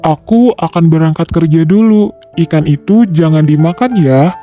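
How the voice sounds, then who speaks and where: A woman's voice: sits low, normal speed, dark tone, soft background music, voice pushed down, natural voice. A woman voicing a grumpy, tired father with a curt manner in a children's folktale. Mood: firm. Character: stern, grumpy, tired village father and husband.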